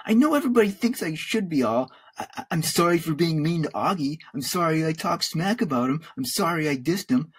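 A high, husky-edged schoolboy played by a man reading a storybook, self-pitying and defiant. He whines and protests indignantly, with big swings in pitch. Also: big pitch swings, measured pace, a little mellow, exaggerated voice. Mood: angry defiance. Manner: whining, indignant outbursts. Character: whiny defiant school-age boy, privileged and self-pitying.

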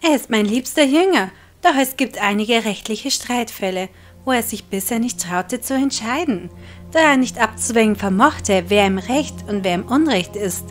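A woman's voice: on the high side, expressive, normal speed, faint music behind, natural voice. A woman playing a principled, sceptical goddess of justice in an audio drama, speaking earnestly.

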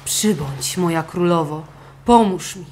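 A woman's voice: on the low side, measured pace, a little mellow, a little hiss or hum, close to an everyday voice. A woman voicing a meek, downtrodden poor fisherman in a children's storybook, timid and pleading.

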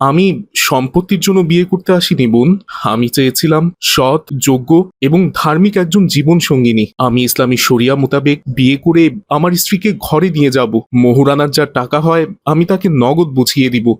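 A man's voice: a little high, fairly quick, natural voice. A man voicing a humble, pious young suitor in a cartoon story, speaking earnestly and courteously. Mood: earnest, wounded.

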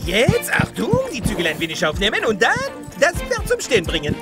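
A man playing a fussy, patient French riding instructor in an animated film, mannered, with a French accent. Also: high-pitched, brisk, loud music behind the voice. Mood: patient, instructing.

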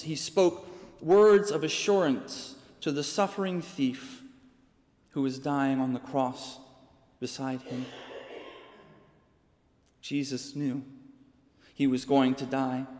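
A young American man, a pastor, preaching a sermon from a pulpit with measured, deliberate pauses. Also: on the high side. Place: large church sanctuary, preaching from a pulpit. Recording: long church reverb.

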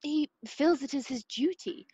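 An actress playing a principled, devoted English wife, speaking earnestly and formally in a radio play. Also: female voice, high-pitched, unhurried, dark tone, lo-fi sound.

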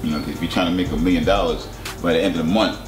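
A man's voice: low-pitched, steady tone, rapid-fire. A man in his thirties, born in Jamaica, hosting a casual chat in a laid-back, rambling way with lots of filler phrases. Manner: laid-back, rambling, many "you know"s. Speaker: host. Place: indoor studio set with couch. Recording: roomy studio with music behind.

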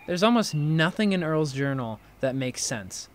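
A man playing an impulsive, curious friend in an audio drama, conversational and quick to get defensive. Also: a little high. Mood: eager. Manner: animated protests, inquisitive, defensive. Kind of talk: naturalistic audio drama dialogue.

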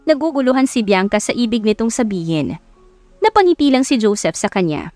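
A woman's voice: fairly high pitch, very animated, a little fast, darkish tone, no echo, soft background music. A woman with a Filipino accent reading scripted narration for a romance audiobook. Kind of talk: scripted narration of a Tagalog love story.

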